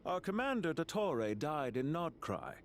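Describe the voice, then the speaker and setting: A man's voice: on the high side, big pitch swings, a little slow, slight background noise. A man playing a smug, condescending villainous henchman in a video game, smarmy and mocking.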